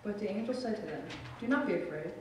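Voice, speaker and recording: A man reads scripture at a lectern in a steady, measured way. The camera is far back in the sanctuary, so his voice comes through very echoey and distant. There is audible noise in the room. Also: sits high. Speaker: scripture reader. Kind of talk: Bible reading in church service. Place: church sanctuary. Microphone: lectern microphone, far camera.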